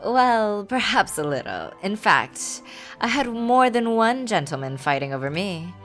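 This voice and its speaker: A woman voicing a kindly, lively, meddling older aristocratic godmother from a game, with grand, genteel phrasing. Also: medium pitch, a little slow, faint music behind. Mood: playful. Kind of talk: reading game dialogue aloud.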